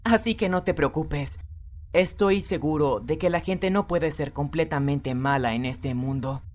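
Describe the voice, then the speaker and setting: A woman voicing a gentle, trusting teenage boy in an anime fandub, soft-spoken and hesitant. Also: low voice, unhurried, slight background noise.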